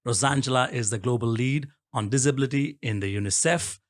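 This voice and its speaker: A man's voice: medium pitch, fairly quick. A man hosting a podcast interview from a studio, speaking in a friendly, welcoming manner. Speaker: podcast host. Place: recording studio.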